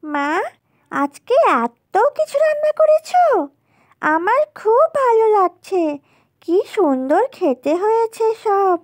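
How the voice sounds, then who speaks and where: A woman's voice: very high-pitched, big pitch swings, slow, dry recording, full character voice. A woman voicing an affectionate, plaintive small village child in a dramatized doll story. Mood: delighted.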